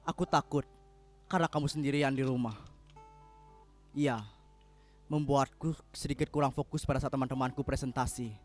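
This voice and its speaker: Over faint music, a man plays a troubled young husband in a stage drama reading. He has a mid-pitched voice and sounds earnest and apologetic, an idealist preoccupied with his cause. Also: close to an everyday voice.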